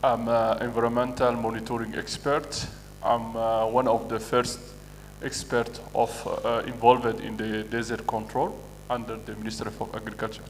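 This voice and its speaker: Monotone man from Djibouti. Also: medium pitch, faint music behind. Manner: hesitant, with many pauses. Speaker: environmental monitoring expert. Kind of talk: self-introduction at a workshop. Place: conference hall. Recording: reverberant conference hall.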